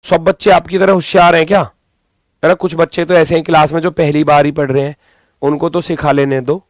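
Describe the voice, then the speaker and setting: A man's voice: a little high, flat delivery, fairly quick, no echo, clean studio sound. A man teaching a live exam coaching class informally, giving directives and repeating phrases for emphasis, his throat strained as he recovers from losing his voice. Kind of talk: live class lesson, thinking aloud.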